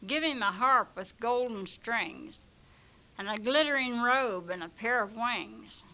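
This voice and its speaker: A woman voicing a stern elderly male saint who keeps a gate and makes indignant, booming pronouncements. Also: expressive, measured pace, sharp tone, full character voice, poor audio. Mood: commanding.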